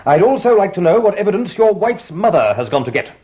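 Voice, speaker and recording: An actor playing a pompous, indignant husband in a British stage farce, speaking in a huffy, clipped English accent. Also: male voice, high-pitched, very animated, quick pace, dark tone. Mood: brooding, offended. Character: pompous, indignant, suspicious but trusting husband.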